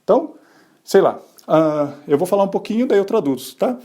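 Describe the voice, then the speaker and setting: A man's voice: high-pitched, lively intonation, brisk, warm tone. A man hosting a podcast, explaining the science of bilingualism in a casual, conversational way, often asking and answering his own questions. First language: Portuguese. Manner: tag questions and fillers.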